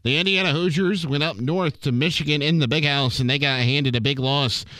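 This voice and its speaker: A man anchoring a scripted radio sports update, reading scores and stats in an energetic announcer style. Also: fairly high pitch, fairly quick, no echo, rough recording.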